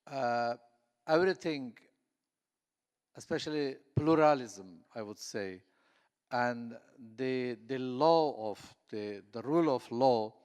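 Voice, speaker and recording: Slow, halting man. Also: medium pitch, a little mellow, smooth. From southeast Turkey. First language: Kurdish. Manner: halting, many fillers and restarts. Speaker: conference panelist. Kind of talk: conference panel talk. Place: conference hall. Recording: echoey conference hall.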